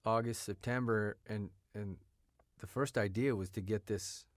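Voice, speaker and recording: A man's voice: fairly low pitch, steady tone, measured pace, dry recording. A man talking casually as a writer and actor being interviewed, in an informal, conversational way. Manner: informal, often checks with "you know". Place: sound studio.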